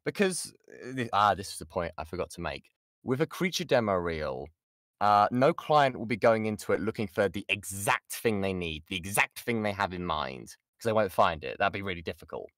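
A man's voice: on the low side, expressive, fairly quick, fairly bright tone, dry recording, clean studio sound. A British man giving an informal voice acting lesson and answering questions, often restarting his sentences and stressing key points. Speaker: voice actor giving a workshop. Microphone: close mic on an online voice chat.

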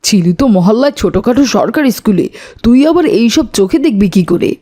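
A woman's voice: on the high side, expressive, brisk, mellow. A woman voicing an arrogant rich schoolboy bully in a cartoon, with a taunting, sneering way of talking. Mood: mocking. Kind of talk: cartoon voice acting.

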